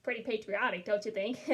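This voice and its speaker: A woman's voice: on the high side, a bit bright. A woman reading a children's storybook aloud expressively, with little asides to the listener.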